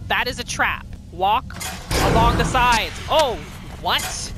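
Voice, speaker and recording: A woman hosting roleplay game commentary, narrating her character in the third person in an expressive, dramatizing way. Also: on the high side, takes it slowly, heavy background noise. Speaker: gaming video host.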